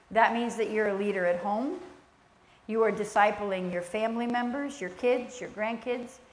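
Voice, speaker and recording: A soft-spoken woman leading a church teaching session, speaking in a gentle, conversational way with a spoken prayer. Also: mid-range voice. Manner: gentle, conversational, with fillers and restarts. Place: church sanctuary. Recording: echoey church room, faint ambience.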